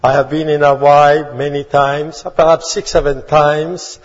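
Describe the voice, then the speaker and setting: Guest preacher, Italian-accented man, slightly high, husky; dull recording with long reverb. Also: level tone, measured pace. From Italy. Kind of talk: sermon greeting.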